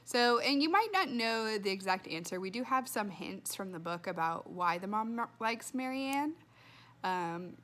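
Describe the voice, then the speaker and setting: A woman's voice: medium pitch, lively intonation, unhurried, a bit bright. A woman reading a children's story aloud expressively and putting questions to listeners as a teacher.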